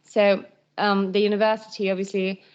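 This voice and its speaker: A woman's voice: mid-range voice, little pitch movement, moderate pace, smooth. A woman in her twenties from Spain, speaking informally as a student ambassador on an online call. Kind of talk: informal presentation on a virtual call. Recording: dull, lo-fi online call audio.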